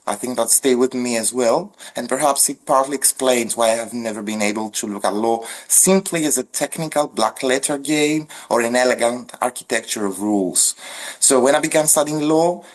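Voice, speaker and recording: A man's voice: medium pitch, poor audio. A man from central Greece with a Greek accent, a legal scholar reflecting formally on his path as a podcast guest. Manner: measured, formal, lists ideas one by one.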